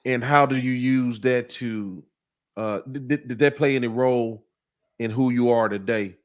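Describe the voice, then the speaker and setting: A man's voice: medium pitch, normal speed. Easygoing man in his forties asking questions. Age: 40s. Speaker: interviewer. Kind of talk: casual conversational interview.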